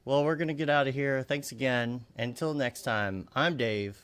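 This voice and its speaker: A man's voice: medium pitch, moderate pace, a bit bright. A man co-hosting a podcast, chatting casually and thinking aloud as he talks. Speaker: podcast co-host. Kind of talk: casual chat.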